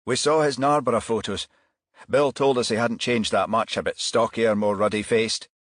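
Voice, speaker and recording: A man voicing a dutiful junior police officer in an audiobook, briskly and deferentially reporting to his boss. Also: medium pitch, steady tone, no echo, studio quality, close to an everyday voice. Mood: eager, businesslike.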